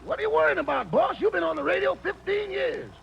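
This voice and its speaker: A man acting a wry, familiar manservant and driver with a gravelly voice and dry comebacks in 1940s radio comedy. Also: very high-pitched, very animated, fast, exaggerated voice. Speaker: comic sidekick on a radio show. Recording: dull, very noisy vintage radio recording.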